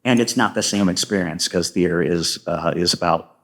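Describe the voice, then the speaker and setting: A man's voice: sits low, normal speed, fairly bright tone. A man talking casually and at length as an interview guest, a wordy storyteller who explains his creative work. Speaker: guest playwright and podcaster.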